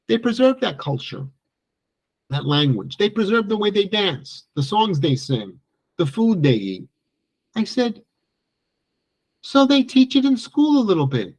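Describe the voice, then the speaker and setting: Gravelly, husky-voiced male lecturer. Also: sits high, low-quality recording. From Italy. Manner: conversational, animated storytelling. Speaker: guest lecturer. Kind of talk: recorded lecture.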